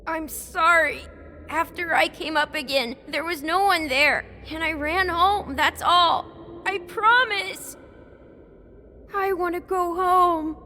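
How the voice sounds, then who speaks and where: Game casting: scared little creature boy, very high voice, slow hesitant delivery, apologetic, faint noise behind. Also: sharp tone, full character voice. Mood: tearful, pleading. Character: frightened, guilty young toad boy. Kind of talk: video game voice acting.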